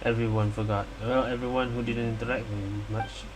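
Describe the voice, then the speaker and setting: A man's voice: mid-range voice, brisk. Man casually commenting over a game, noisy room. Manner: musing, reacting aloud to the game. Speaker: gaming streamer. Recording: steady hum of room noise.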